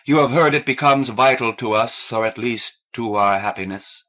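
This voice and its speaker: A man voicing a big, courteous, melancholy alien in an audiobook, speaking slowly and formally. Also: medium pitch, bright, forceful tone, natural voice. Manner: slow, formal, deliberate.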